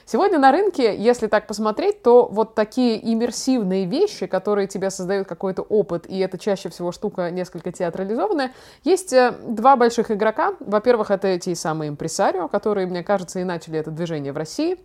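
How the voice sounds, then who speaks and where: A woman with an average-pitched voice hosts a podcast. She talks informally and chattily, laying out explanations in a conversational way. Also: animated, fast talker, warm tone. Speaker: podcast host. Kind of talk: casual podcast chat with explanations.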